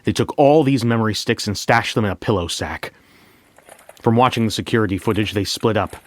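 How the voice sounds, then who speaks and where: Male narrator, fairly low pitch, for first-person audio fiction as a bank manager. Reflective, deliberate pauses, close dry sound. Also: dry recording. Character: bank manager recounting a memory heist.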